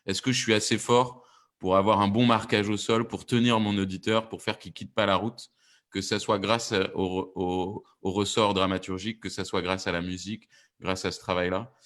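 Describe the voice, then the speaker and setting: A man's voice: on the low side, flat delivery, moderate pace, a bit bright. A man speaking casually as a producer in a panel discussion, restarting phrases and repeating himself for emphasis. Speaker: panelist, audio fiction producer.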